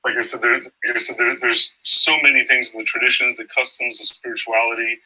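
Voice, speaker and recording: A man from the Cherokee Nation explaining in a measured way during a TV interview, listing examples. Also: mid-range voice, flat delivery, sharp tone, husky, low-quality recording. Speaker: interviewee in a TV clip.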